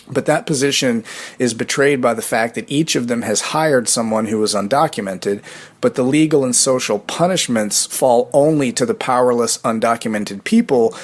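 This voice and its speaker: A man giving prepared commentary as a fan, speaking in an earnest, measured and articulate way. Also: mid-range voice. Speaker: fan giving podcast feedback.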